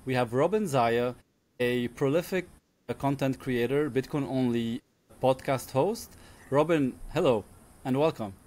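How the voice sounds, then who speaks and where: Guy hosting a podcast, mid voice, friendly measured questions. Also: medium pitch, darkish tone. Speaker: podcast host. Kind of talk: interview hosting.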